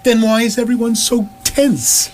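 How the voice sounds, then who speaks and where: A woman's voice: on the high side, fairly even intonation, measured pace, a little mellow. A woman playing an anxious, dryly sarcastic reluctant space traveller in comic radio theatre. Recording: noisy live stage recording.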